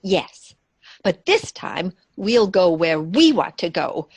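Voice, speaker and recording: An actress playing a sweet, dotty elderly aunt in a comedy radio play, genteel and cheerfully innocent. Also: female voice, lowish voice, very animated, slow pace, vocal fry, slightly rough, dry recording, exaggerated voice. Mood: chatty, fluttery. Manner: genteel, chirpy, soothing. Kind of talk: stage comedy radio theatre acting.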